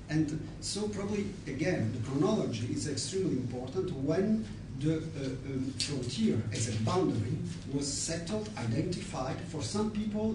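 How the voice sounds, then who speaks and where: A man with a non-native English accent making emphatic, argumentative points off the cuff in an academic seminar, his phrases broken by hesitations. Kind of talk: unscripted academic discussion. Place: large echoey room at an academic workshop. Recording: very echoey room, distant mic, noisy, rumble.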